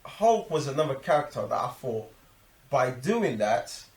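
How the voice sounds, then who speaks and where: A man's voice: medium pitch, very animated, unhurried, darkish tone. A man chatting casually about films, using slang and repeating short phrases. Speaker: film review show co-host. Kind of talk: casual film discussion. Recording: roomy, echoing room sound.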